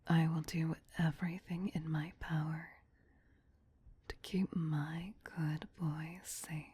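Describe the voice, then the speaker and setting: A woman playing a doting, possessive vampire queen in an ASMR roleplay, whispering softly and soothingly in an intimate, coaxing manner. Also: low voice, level tone, takes it slowly, full character voice. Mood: tender, protective. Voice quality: breathy, soft whisper.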